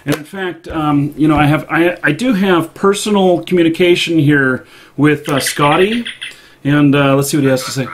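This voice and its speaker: A man hosting a live show, chatting casually about games in a halting way with pauses mid-thought. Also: a little high, background noise.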